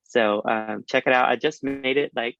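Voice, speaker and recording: Young man talking halting and relaxed. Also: mid-range voice, vocal fry, dull audio. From Alabama. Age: 20s. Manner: relaxed, halting, many fillers and restarts. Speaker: dental student guest. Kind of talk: casual interview chat.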